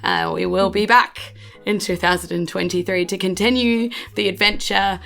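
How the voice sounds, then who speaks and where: Gravelly New Zealand woman game master. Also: mid-range voice, steady tone, moderate pace, fairly bright tone, faint music behind. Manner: chatty, upbeat, thanks listeners at length. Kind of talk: casual podcast chat and narration.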